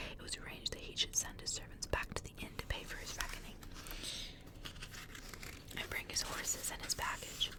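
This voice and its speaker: A woman speaking in a breathy, unvoiced whisper, soft and intimate, as an ASMR host and reader. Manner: soft, hushed, intimate delivery. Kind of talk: whispered ASMR story reading and chat.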